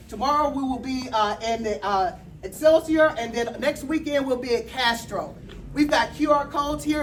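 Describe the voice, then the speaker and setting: Field organizer, woman, loud call-and-response style, light room noise behind. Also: high voice, recorded from a distance. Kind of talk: rallying instructions to volunteers. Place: campaign office with crowd, indoors.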